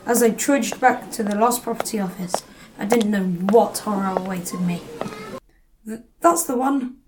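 A woman's voice: medium pitch, normal speed, noisy background, close to an everyday voice. A woman playing a nervous, hesitant lost property clerk with a stammer in an audio drama. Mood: horrified. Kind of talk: community audio drama acting.

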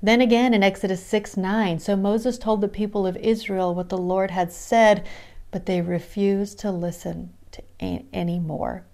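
A woman's voice: mid-range voice, fairly even intonation, moderate pace, mellow. Earnest Midwestern woman hosting Bible study. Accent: Midwestern American. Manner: earnest and encouraging, reads scripture aloud. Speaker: podcast host. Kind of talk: devotional Bible study talk.

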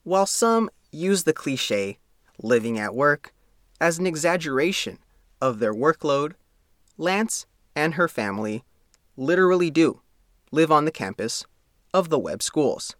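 A man's voice: fairly high pitch, big pitch swings, measured pace, a bit bright, dry recording, clean studio sound. A man reading a scripted feature story as a reporter. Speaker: reporter and narrator.

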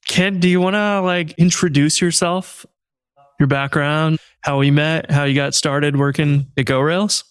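Laid-back man hosting with a smooth voice. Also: a little high, measured pace, no room sound. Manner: relaxed, laughs often, rambling with many "like"s. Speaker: podcast host. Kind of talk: casual podcast chat.

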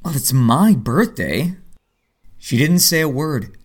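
A man playing a wry, lovestruck 38-year-old vigilante hero who narrates his story in a superhero audio drama, with a husky, self-deprecating delivery. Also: a little high, expressive, slow. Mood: playful, dry.

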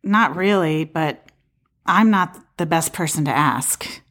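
An actress playing a skeptical but helpful apartment dweller in an audio play, speaking in a hesitant, conversational way. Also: female voice, deep voice, slow, fairly bright tone. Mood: skeptical, wistful.

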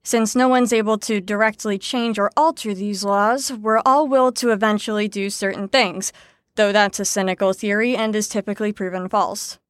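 A woman's voice: medium pitch, fairly even intonation, normal speed, no room sound, clean studio sound, lightly acted. An actress in an audio drama playing an exasperated, sardonic young woman who leads a group lesson in a weary, lecturing manner. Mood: dry, lecturing.

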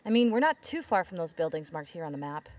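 A woman's voice: fairly low pitch, sing-song, fast, a little mellow, slight background noise. A woman playing a brash, eager, foul-mouthed young investigator in an audio drama, with a slangy, sarcastic, pushy delivery. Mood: persuasive. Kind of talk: full-cast audio drama acting. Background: music.

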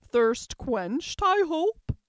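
An imperious queen from a storybook, acted out by a woman, brisk and bossy. The voice rings out high and swoops widely, with emphatic, commanding delivery in a dry, close sound. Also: big pitch swings, no echo, full character voice. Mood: imperious, gracious. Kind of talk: storybook character voice.

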